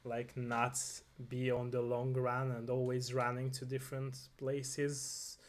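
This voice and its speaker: A man's voice: mid-range voice, monotone, slow pace. A man with a Hungarian accent hosting a casual interview, speaking earnestly and often repeating himself in agreement. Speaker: interview show host.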